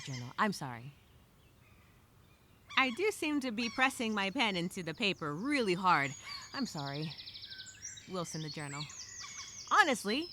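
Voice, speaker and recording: A frightened castaway woman, as acted by a storyteller rambles through a journal monologue with theatrical exasperation. Her voice is highish and very bright, swinging widely in pitch as she stresses her words. Birdsong and clear background noise sit behind her. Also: exaggerated voice. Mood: weary, scared. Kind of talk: dramatic first-person journal monologue.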